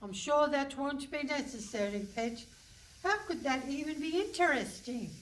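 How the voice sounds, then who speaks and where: A woman's voice: sits high, lightly acted. A woman playing a brusque, condescending older English detective inspector in a comic radio play on stage. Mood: dry, dismissive. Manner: brisk, dry, condescending, calling people pet and love. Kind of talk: comic radio play before a live audience. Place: hall stage with a live audience. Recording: distant stage pickup with some noise.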